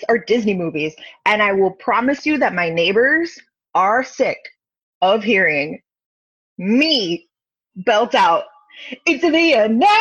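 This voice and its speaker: A woman's voice: on the high side, husky. A woman guest on a podcast, chatting casually and laughing often over a video call. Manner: giggly, playful, puts on silly voices. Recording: dull lo-fi call audio.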